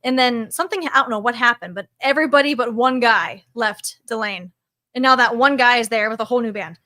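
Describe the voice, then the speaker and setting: Bubbly high-voiced woman gushing. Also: brisk, no echo. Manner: gushing, excitable, lots of "like" and "oh my gosh". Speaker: guest musician. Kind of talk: casual chat.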